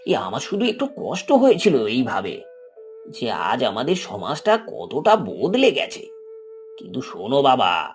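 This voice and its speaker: A man voicing a kindly, humble old street hawker in a cartoon, speaking in a fatherly, gently preaching way. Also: medium pitch. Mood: soothing. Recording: background music under the voice.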